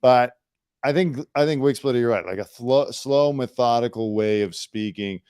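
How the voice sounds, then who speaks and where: A man hosting a casual talk show, telling stories in a relaxed, chatty way. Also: medium pitch, moderate pace, clean tone, no echo, clean studio sound. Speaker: host. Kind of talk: casual chat.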